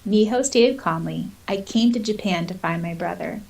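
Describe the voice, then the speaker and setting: A woman's voice: mid-range voice, normal speed, creaky, distant mic, crowd noise, low-quality recording. A woman co-hosting a podcast in an informal, giggly way, moving between casual chat and reading story passages. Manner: giggly, informal, uses "like" often. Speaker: podcast co-host.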